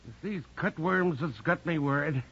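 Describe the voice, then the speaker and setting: An old rustic gardener with a rural American drawl. The voice is very high and husky, grumbling and unhurried by anyone, on a muffled vintage radio drama recording. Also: male voice, fairly bright tone. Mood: grumbling, distracted. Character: grumbling old rustic male gardener, stubbornly absent-minded. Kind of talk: 1940s radio acting.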